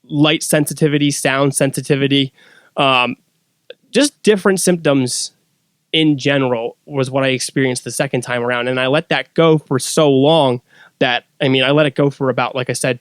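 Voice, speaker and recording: Clear-voiced man telling his story casually. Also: mid-range voice, no echo, studio quality. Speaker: podcast guest.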